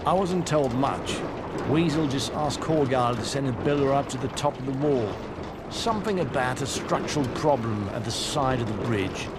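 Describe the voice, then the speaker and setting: An actor playing a cheeky, grumbling builder of a fantasy watch in a video game, full of banter. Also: male voice, mid-range voice, expressive, normal speed, heavy background noise, natural voice. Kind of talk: video game cutscene acting.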